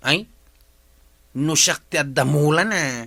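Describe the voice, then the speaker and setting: Actor playing a bright-voiced, argumentative Ilocano village man. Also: on the high side, slow, vocal fry, heavily acted. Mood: indignant. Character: stubborn, argumentative village man who keeps goats. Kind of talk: Ilocano comedy radio drama acting.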